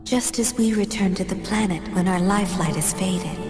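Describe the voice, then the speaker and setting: An actress playing a solemn, measured woman who narrates a game cutscene about a doomed world in a portentous tone. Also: mid-range voice, music in the background, close to an everyday voice. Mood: solemn, foreboding. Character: solemn woman recounting a doomed planet's fate.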